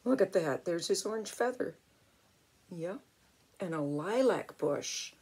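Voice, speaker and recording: Older woman, unhurried storybook reader, slightly husky, expressive, with chatty asides for a small child. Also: fairly low pitch. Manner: expressive, exclaiming, talking to a young child. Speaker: grandmother reading a picture book.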